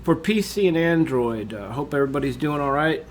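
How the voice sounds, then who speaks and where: A man with a stuffy, congested voice from a cold walks through a software tutorial in an easygoing, filler-heavy manner. His voice sits a little higher than most men's. A faint hiss runs under the recording. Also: fairly quick. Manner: relaxed, with frequent uh and um fillers. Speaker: tutorial host.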